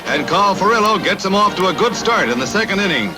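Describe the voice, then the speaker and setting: A man's voice: high voice, lively intonation, quick pace, rough recording. An American man narrating a 1950s sports newsreel from a script, in a punchy, dramatic announcer style. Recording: vintage 1950s newsreel soundtrack with loud music. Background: loud music under the narration.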